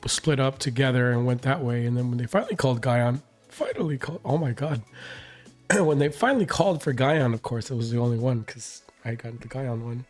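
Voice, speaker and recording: Hoarse male vlogger, mid pitch, rambling casual recap, faint music underneath. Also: brisk. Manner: rambling, many fillers and restarts. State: hoarse, recovering voice. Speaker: reaction channel host.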